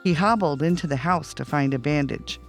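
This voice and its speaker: Children's storyteller with soft music behind. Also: mid-range voice, normal speed. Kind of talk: scripted children's bedtime story narration.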